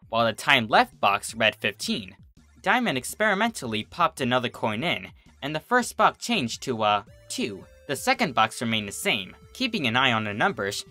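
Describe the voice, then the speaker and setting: An expressive reader narrating a comedic story from a script, acting out the character's reactions over background music. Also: mid-range voice.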